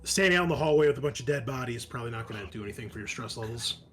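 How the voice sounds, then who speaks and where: A man improvising descriptive, halting narration as the game master of a tabletop roleplaying session. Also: medium pitch, expressive, a bit bright.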